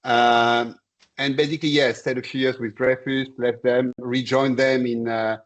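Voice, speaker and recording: A man in his sixties from Senegal, a commodity trader and interview guest, telling his career story in a measured, halting way over a video call. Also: mid-range voice, flat delivery, lo-fi sound. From born in Senegal. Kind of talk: conversational career account.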